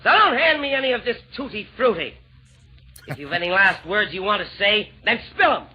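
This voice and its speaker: Pompous villain emperor, high-pitched, theatrical rhyming delivery, vintage radio drama. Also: male voice, animated, a little fast. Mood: impatient menace. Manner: theatrical, declaiming in rhyme. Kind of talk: old-time radio verse comedy acting. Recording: dull, noisy vintage radio recording.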